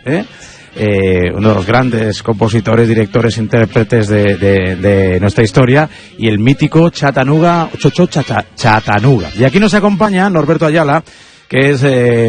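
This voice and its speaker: Fast-talking radio host. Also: male voice, medium pitch. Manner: chatty, frequent tag questions. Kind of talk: live radio chat. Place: on location, remote broadcast.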